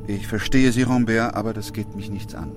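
Deep-voiced, restrained doctor played by a man. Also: steady tone, close to an everyday voice. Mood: restrained, firm. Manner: measured, restrained. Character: sober, dutiful male doctor narrating a plague. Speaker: actor and narrator. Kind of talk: radio drama acting and narration. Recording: music behind the voice. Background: music.

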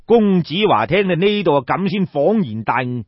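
A man's voice: a little high, sing-song, normal speed, no room sound, dull audio. A man with a Cantonese accent narrating historical fiction as an audiobook storyteller.

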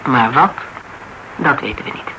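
Methodical questioner, radio drama, dry, terse, reserved, mid-pitched, noisy old broadcast. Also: animated, unhurried, bright, forceful tone. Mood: probing. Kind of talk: Dutch radio crime drama acting, conversational.

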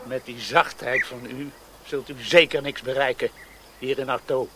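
An actor playing a bitter, hot-tempered village friar and schoolmaster, scornful and scolding, in a radio drama. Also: male voice, mid-range voice, fairly even intonation, normal speed, sharp tone, a little hiss or hum, natural voice.

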